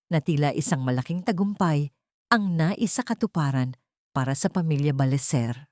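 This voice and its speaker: Low-voiced woman narrating a dramatic story. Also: warm tone, no echo, clean studio sound.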